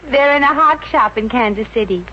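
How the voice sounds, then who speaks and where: A woman's voice: fairly high pitch, normal speed, lightly acted. An actress playing a wry, slangy, good-hearted young American showgirl secretary in a 1950s radio comedy. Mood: wry, breezy. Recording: muffled, noisy 1950s radio recording.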